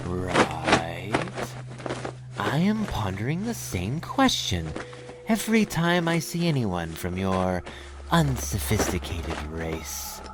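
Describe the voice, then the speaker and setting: Sly, sing-song alien raider lord. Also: male voice, low voice, wildly swooping pitch, heavy background noise, exaggerated voice. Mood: smug menace. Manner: mock-polite, sardonic, drawn-out menace. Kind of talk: scripted audio drama acting.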